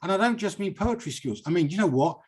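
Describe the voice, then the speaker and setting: A man's voice: sits high, lively intonation, fast talker, breathy edge. A British man hosting a poetry reading in an effusive, digressive, conversational way. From United Kingdom. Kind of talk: live event hosting, conversational interviewing.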